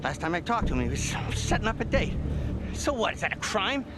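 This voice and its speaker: A man's voice: high voice, big pitch swings, brisk, heavy background noise. A man playing a cocky, foul-mouthed young suspect with streetwise slang and a defiant, easily rattled manner in a dramatic video game. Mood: panicked.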